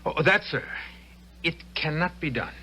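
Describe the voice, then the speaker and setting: An actor playing a stern, suspicious and zealous young Hessian lieutenant in a radio drama, clipped and formal. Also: male voice, on the high side, expressive, a little slow, bright, forceful tone, breathy edge, exaggerated voice. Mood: reluctant, dutiful. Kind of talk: old-time radio drama acting.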